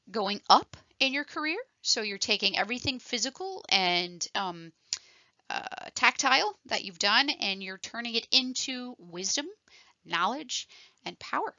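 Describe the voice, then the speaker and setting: A woman nurse talks through an online course in a loose, enthusiastic way, stumbling over restarts and fillers. Her voice is bright and forward, and the dry, slightly dull recording has no room sound to it. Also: medium pitch, no echo. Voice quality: self-described voice like a frog puppet. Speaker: telephone triage nurse and course presenter. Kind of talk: casual motivational presentation.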